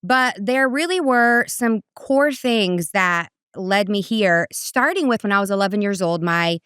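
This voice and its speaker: Guest: Brazilian-born woman, middle-aged, smooth crisp voice, animated storyteller, dry close sound. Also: measured pace, no room sound. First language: Portuguese. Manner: animated, voice jumps high for quoted asides. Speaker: guest, parenting coach. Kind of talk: casual conversational storytelling.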